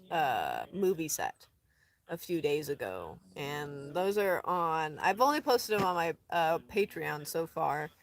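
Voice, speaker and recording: A woman from Colorado chatting casually on a live stream outdoors in the desert, rambling, laughing often and dropping into soft asides. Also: lowish voice, vocal fry. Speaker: van-dwelling traveler streaming live.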